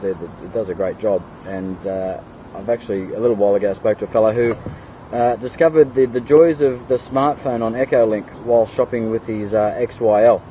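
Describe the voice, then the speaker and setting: An Australian man chatting casually as an amateur radio operator, in halting, hesitant phrases. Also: lowish voice, dark tone. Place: outdoors on a kayak on the water. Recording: dull radio audio with faint outdoor noise.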